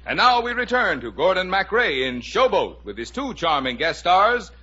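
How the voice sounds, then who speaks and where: A man's voice: high voice, very animated, normal speed, sharp tone, faint music behind. A man reading scripted sponsor narration as a 1950s radio announcer, with formal, emphatic phrasing. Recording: muffled 1950 radio broadcast.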